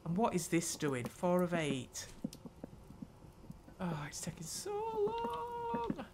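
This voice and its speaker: Unhurried older woman narrating gameplay. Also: on the low side, sing-song, heavy background noise. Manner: unhurried, muttering thoughts aloud while playing. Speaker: gaming channel host. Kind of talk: let's play commentary.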